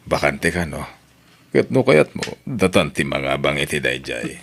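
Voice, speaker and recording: A man's voice: a bass voice, big pitch swings, unhurried, creaky, fairly bright tone, a little hiss or hum. A man playing a middle-aged father who talks fondly to his children and wife, often laughing.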